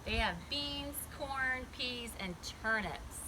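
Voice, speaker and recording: A woman's voice: high-pitched, animated, takes it slowly. Woman reading to kids, noisy recording. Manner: talks directly to children, asking questions and explaining simply. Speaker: children's read-aloud storyteller. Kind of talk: chatty picture-book read-aloud for young children.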